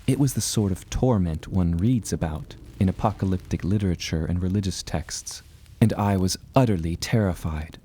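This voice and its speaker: A man playing an earnest seafaring adventurer, narrating his voyage in a measured, formal storytelling manner. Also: low-pitched, mellow, slight background noise, natural voice. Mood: solemn. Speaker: narrator and protagonist. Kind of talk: scripted first-person adventure narration.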